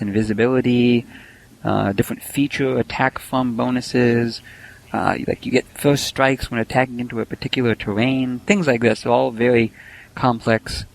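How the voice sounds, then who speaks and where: A man's voice: medium pitch, creaky. A man co-hosting a casual podcast, eager and teasing in chat, then walking through technical details with plenty of fillers. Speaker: podcast co-host, code reviewer.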